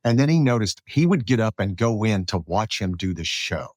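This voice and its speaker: A man's voice: fairly low pitch, sing-song, normal speed, dry recording, studio quality. A middle-aged man hosting a casual interview, chatting and sharing anecdotes like a radio veteran. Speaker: podcast host, radio veteran.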